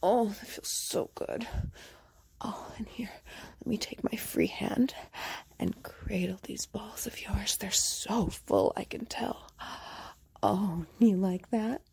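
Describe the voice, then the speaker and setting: Woman whispering real slow and breathy, kinda gravelly, dry close sound, sweet coaxing tone. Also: medium pitch, darkish tone, no room sound. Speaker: roleplay performer. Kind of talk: whispered intimate roleplay ASMR.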